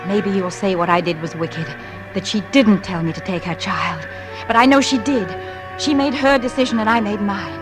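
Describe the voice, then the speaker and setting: A woman's voice: mid-range voice, moderate pace, over loud music, lightly acted. An actress playing a lonely young married woman, narrating a confession with intense feeling in 1940s radio style. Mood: tense, confessional. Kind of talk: 1940s radio acting, dramatic first-person narration.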